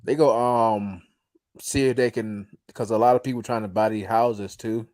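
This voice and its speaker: A man with a slightly raspy voice joining a casual livestream chat, making quick mocking remarks. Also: lowish voice, fairly even intonation, a little mellow, no room sound. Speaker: stream guest.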